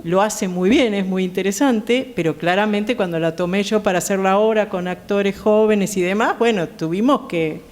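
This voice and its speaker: A woman's voice: medium pitch, moderate pace, few pauses, a little mellow. A middle-aged woman with an Argentine accent, talking conversationally as a guest on a theatre panel. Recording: reverberant theatre hall.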